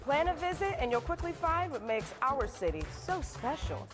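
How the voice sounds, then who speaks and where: A woman's voice: high-pitched, sing-song, normal speed. A woman reading a polished promotional voice-over. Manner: bright, polished ad read. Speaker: advert voice-over. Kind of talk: scripted promotional narration. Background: music.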